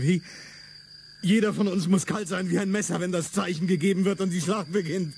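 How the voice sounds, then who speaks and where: A man's voice: a little high, darkish tone, a little hiss or hum, close to an everyday voice. A man playing a fierce, declamatory revolutionary. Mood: fervent. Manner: solemn, declamatory delivery. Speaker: radio drama actor. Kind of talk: stage-like radio play acting.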